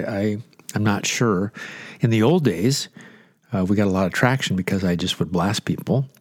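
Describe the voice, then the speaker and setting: A man's voice: lowish voice, dry recording. A congested, stuffy-nosed man hosting a radio show as a pastor, rambling and joking at his own expense. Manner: rambling, joking, self-deprecating. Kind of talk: casual off-the-cuff radio chat.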